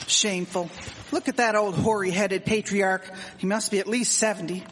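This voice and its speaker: Prim, indignant period lady acted by a woman, medium pitch, disapproving, radio play staged in an echoing hall. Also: steady tone, moderate pace, lightly acted. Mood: scandalized, scolding. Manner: prim, scolding. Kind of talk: live radio drama acting. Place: performing arts centre stage. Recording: long hall reverb of live stage.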